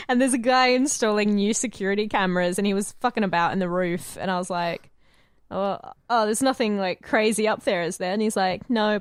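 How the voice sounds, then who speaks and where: A woman's voice: medium pitch, clean tone, no room sound. A woman chatting casually as a podcast co-host, telling things in an informal, colloquial way. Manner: informal, frequent "like", sweary. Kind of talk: casual chat, anecdotes.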